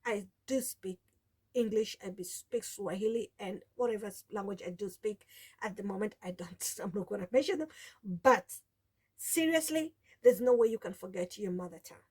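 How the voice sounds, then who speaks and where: A woman's voice: medium pitch, normal speed, a little mellow, slightly rough. A woman in her forties with a Kenyan accent, her first language Taita, telling her story to camera in a rambling casual vlog, with many restarts. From Kenya, Taita from the coast. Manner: rambling, with frequent restarts and "you know". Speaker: vlogger.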